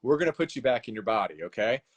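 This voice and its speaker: Male author guest, mid-pitched, lively storyteller who slips into quick voiced dialogue. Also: slightly rough. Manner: animated storyteller, acts out dialogue with laughs. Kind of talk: casual interview chat.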